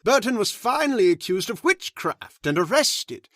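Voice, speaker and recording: A man playing a solemn caretaker who slowly tells a dark family legend in an old-time radio drama. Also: high-pitched, very animated, no echo, studio quality, exaggerated voice. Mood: grave. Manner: slow, ominous, measured delivery. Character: grave, solemn male storyteller of the house. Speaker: Mister Roberts, old manor caretaker telling the legend.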